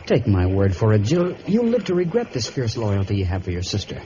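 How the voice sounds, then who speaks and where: A man's voice: on the low side, wildly swooping pitch, brisk. An actor playing an exasperated, insistent showman in love in a vintage radio melodrama, pleading his case. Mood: bitter warning. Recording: dull, lightly noisy old radio recording.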